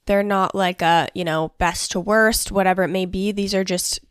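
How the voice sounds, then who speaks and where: A woman's voice: medium pitch, fairly even intonation, normal speed, smooth, dry recording. Young woman, stuffy nose, chatty upbeat host, dry close mic. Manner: bubbly, chatty, fillers like "like" and "honestly". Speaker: podcast host. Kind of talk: casual solo podcast chat.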